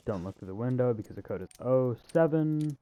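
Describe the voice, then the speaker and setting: A man's voice: medium pitch, lively intonation, fairly quick, warm tone. A man giving casual, offhand commentary as he hosts a video game walkthrough. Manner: offhand, informal. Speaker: gaming video host.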